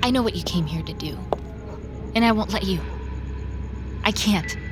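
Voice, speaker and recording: An actress in an audio drama playing an anxious, impulsive young woman, agitated and halting, as if muttering to herself. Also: medium pitch, animated, music in the background, close to an everyday voice. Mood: grave, emotional. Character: anxious, impulsive young woman determined to stop a former friend. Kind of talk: audio drama acting with inner monologue.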